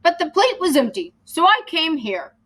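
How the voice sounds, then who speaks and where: A woman voicing a gigantic, kindly old man from a storybook, speaking in an unhurried, reassuring way. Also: very high-pitched, sing-song, a bit bright, pitched above the performer's own voice, heavily acted. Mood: explaining.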